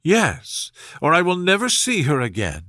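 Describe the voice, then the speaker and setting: A man's voice: on the high side, huge pitch swings, measured pace, bright, forceful tone, no room sound, studio quality, voice pushed up, heavily acted. A man voicing a flustered, pushy middle-aged mother from a classic novel, pleading insistently. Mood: indignant. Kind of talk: storybook character voice in classic novel reading.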